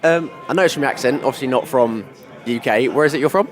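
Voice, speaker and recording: Fast-talking man interviewer. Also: medium pitch, faint music behind. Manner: quick questions with many ums. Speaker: radio interviewer. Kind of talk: casual interview questions.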